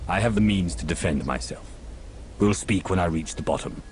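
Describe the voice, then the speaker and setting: A man's voice: sits low, moderate pace, darkish tone, slight background noise, natural voice. A man playing a resolute, commanding lord in a video game, speaking formally and with authority. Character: commanding, resolute lord giving urgent orders. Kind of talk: video game cutscene acting. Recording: clear music underneath.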